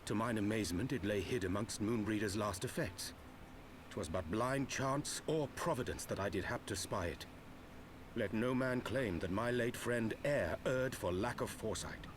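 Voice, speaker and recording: An actor voicing a solemn, grave warrior who speaks formal archaic English in a dramatic game scene. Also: male voice, fairly low pitch, moderate pace, close to an everyday voice. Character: solemn archaic warrior grieving a fallen comrade. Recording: noisy game stream capture.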